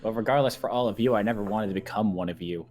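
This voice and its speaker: A man's voice: lowish voice, fast, darkish tone, lightly acted. A man playing a bitter, aloof and reluctant dragon slayer in an improvised fantasy game roleplay. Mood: bitter, dismissive. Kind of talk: improvised Minecraft roleplay acting. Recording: music clearly behind the voice.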